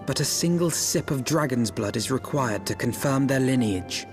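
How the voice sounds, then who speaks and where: A man's voice: mid-range voice, expressive, moderate pace, over music, lightly acted. A man playing an earnest, doubting nobleman, courteous and formal in a fantasy game. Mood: questioning.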